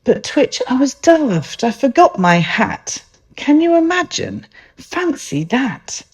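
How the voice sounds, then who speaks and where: Woman playing a gentle, scatty witch, swooping pitch. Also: slow, full character voice. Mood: rueful, flustered. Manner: expressive, exclaiming, chatty. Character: gentle scatty female witch, fond of nature, dramatic.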